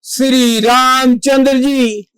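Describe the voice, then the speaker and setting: A high-pitched stage actor plays a devoted, reassuring monkey-god messenger in a theatrical monologue. The delivery is extremely slow and declamatory, with formal diction and measured pauses. The sound is dry and close. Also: steady tone, fairly bright tone, smooth, no room sound.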